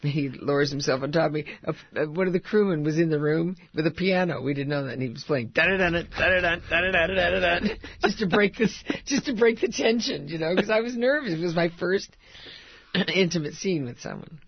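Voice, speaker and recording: An older woman with a husky, gravelly voice sits for an interview, telling stories in a chatty way and laughing often. Her voice sits fairly high, and the recording is dry and a bit dull. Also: brisk, no room sound. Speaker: actress guest. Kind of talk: casual interview chat.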